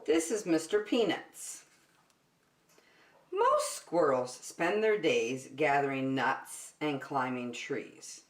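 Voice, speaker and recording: Slow, animated woman storyteller in echoey room. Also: deep voice. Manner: expressive, addresses young listeners with questions and prompts. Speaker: children's circle time teacher and storyteller. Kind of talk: animated picture-book read-aloud for young children.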